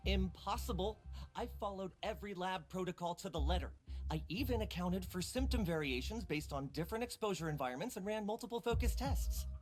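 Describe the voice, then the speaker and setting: A man's voice: sits high, expressive, brisk, faint music behind. A man playing an arrogant male researcher in a video game, haughty and whiny, prone to stammering when rattled. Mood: smug, defensive. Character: arrogant, easily flustered male lab researcher.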